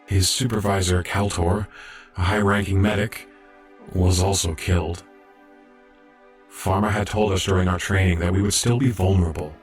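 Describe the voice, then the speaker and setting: Man playing a low-voiced earnest young robot medic. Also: fairly even intonation, moderate pace, faint music behind, natural voice. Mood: reflective. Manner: earnest, hesitant, reflective. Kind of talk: audio drama acting and narration.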